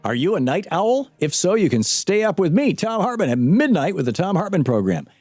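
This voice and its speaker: A man's voice: sits high, very animated, fairly quick, darkish tone. A man reading a scripted radio promo as an announcer. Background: music.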